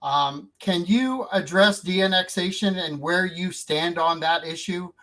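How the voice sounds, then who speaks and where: A man's voice: sits high, a little slow, bright, forceful tone. A man hosting a radio talk show, speaking informally and asking his guest direct questions.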